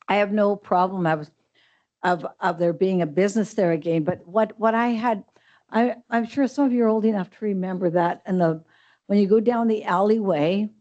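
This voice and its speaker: A woman's voice: mid-range voice, mellow. An older woman speaking from the public gallery at a council meeting, with a big voice, talking in a rambling, hesitant way full of restarts. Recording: dull lo-fi council chamber mic.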